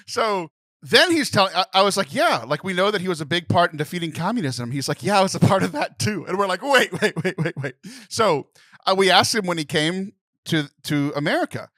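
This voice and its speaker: Young man, podcast co-host, storytelling, high lively voice, laughs a lot, dry close sound. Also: brisk, dry recording. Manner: animated, laughs often, mimics voices.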